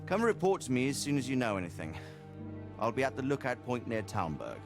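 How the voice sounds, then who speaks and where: An actor playing a commanding, fair-minded medieval lord in a video game drama, speaking with deliberate authority. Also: male voice, medium pitch, very animated, over music. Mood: resolute.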